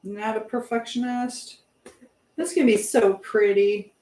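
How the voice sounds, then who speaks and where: A woman's voice: on the high side, fairly even intonation, slow, mellow, poor audio. A middle-aged woman hosting a casual live stream, rambling between viewer comments and the baking she is doing as she talks. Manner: rambling, hopping between chat and task; chatting while baking.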